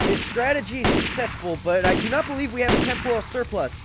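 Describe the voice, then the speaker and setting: Guy doing a nerdy pedantic scientist, talks fast n formal, high voice, zombie comic drama. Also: expressive, heavy background noise. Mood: stiff, urgent. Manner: formal, precise, over-technical wording. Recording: loud music and gunfire behind.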